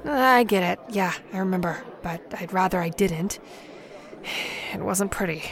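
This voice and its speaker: A woman's voice: mid-range voice, a little slow, natural voice. Wry, weary woman adventurer played by an actress. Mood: uneasy, subdued. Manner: dry, casual, understated. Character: weary, wry, loyal female traveling companion. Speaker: actress playing Ella. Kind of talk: radio drama acting.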